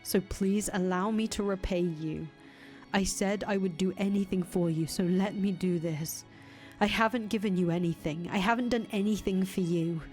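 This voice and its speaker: A woman voicing a soft-spoken young man condemned as a heretic, talking quietly in breathy whispers. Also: mid-range voice, normal speed, faint music behind, lightly acted. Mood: tender. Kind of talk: audio drama acting.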